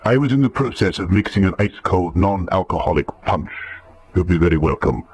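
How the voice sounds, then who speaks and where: Low-voiced, formal manservant. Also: male voice, animated, darkish tone, slightly rough. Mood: courteous. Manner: measured, polite. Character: deferential, formal manservant, unruffled and courteous. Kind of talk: radio drama acting.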